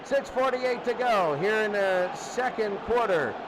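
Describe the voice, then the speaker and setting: A man doing live play-by-play football commentary, excitable and rising to shouts on big plays. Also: high-pitched, measured pace. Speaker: play-by-play announcer. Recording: loud crowd and music behind. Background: crowd noise and music.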